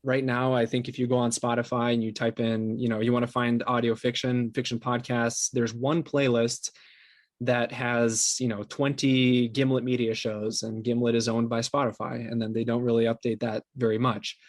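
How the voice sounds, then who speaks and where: Man, interview guest, medium pitch, conversational, earnest explainer, very little room sound. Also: flat delivery, moderate pace, dry recording. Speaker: interview guest, podcast creator and company co-founder.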